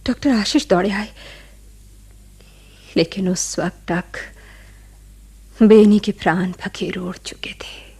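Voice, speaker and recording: Sad widow in a radio play, husky breathy voice, kinda slow, mellow, telling her story. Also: medium pitch, fairly even intonation, full character voice. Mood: sorrowful. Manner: slow, sorrowful, breathy delivery. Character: grieving widowed woman recounting her tragic past. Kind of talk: dramatic radio play narration.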